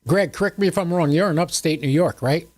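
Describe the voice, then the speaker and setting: A man's voice: on the high side, fast talker, a little mellow. A man in his seventies hosting a livestream, chatting casually with viewers in a folksy, joking, rambling way. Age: 70s. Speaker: host of a ham radio livestream.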